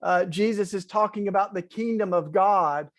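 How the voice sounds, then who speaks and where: A man's voice: high-pitched, normal speed. A man with an East Tennessee accent, speaking earnestly and conversationally as a panelist in an online talk. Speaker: webinar panelist, faith organizer.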